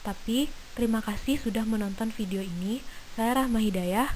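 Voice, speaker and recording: A young woman student in her twenties giving an earnest, measured lesson on language variation, with frequent hesitations. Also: fairly high pitch, darkish tone, a little hiss or hum, rough recording. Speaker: linguistics student presenter. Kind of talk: reading an explanatory lecture.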